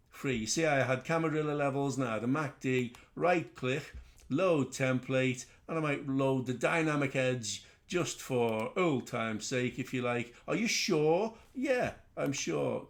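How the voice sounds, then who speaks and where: A middle-aged man with a Liverpool accent, hosting a casual trading webinar in a chatty, rambling way with self-deprecating asides. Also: mid-range voice. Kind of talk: casual live webinar teaching.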